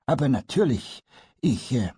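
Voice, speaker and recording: A man's voice: medium pitch, sing-song, unhurried, husky, dry recording, clean studio sound, voice pushed up, full character voice. A man playing a kindly old carpenter. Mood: patient. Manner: patient, gently explaining. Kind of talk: storybook character voice.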